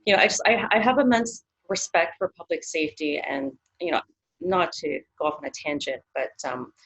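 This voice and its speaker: A woman's voice: deep voice, normal speed, a bit bright, breathy edge, poor audio. A Canadian woman psychologist in her thirties, speaking as an interview guest in a thoughtful way, with many fillers and restarts.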